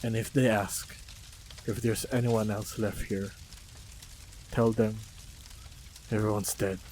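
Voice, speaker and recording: A man with a fairly low voice plays a calm, protective hunter in an audio roleplay. His delivery is slow and composed, with little melodic movement. Faint ambience runs behind him. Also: slight background noise, low-quality recording. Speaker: voice actor playing a monster hunter. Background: faint sound-effect ambience.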